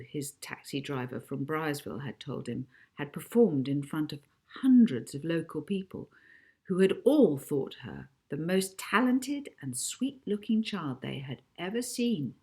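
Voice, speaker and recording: Unhurried low-voiced woman reading a story. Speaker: author reading own children's novel. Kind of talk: expressive audiobook narration.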